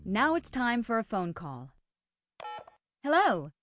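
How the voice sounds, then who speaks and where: A woman's voice: fairly high pitch, big pitch swings, slow, fairly bright tone, soft background music. An American woman hosting a radio show in scripted English-teaching dialogue.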